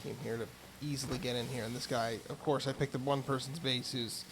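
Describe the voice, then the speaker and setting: A man streaming a game with casual, rambling commentary, hoarse, raspy and a bit mumbly from being sick. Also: mid-range voice, flat delivery, a little fast, background noise. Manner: rambling, chatty asides.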